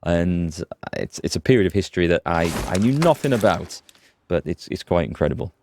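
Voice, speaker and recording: A man's voice: low voice, animated, fairly quick, darkish tone, a little hiss or hum. A man streaming a strategy game live, chatting casually, thinking aloud and greeting viewers by name. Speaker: gaming streamer. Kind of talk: live let's play commentary.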